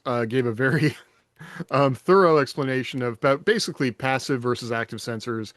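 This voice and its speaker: Male podcast co-host, medium pitch, very dry close sound, casual and tongue-in-cheek. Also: no room sound. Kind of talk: casual chat.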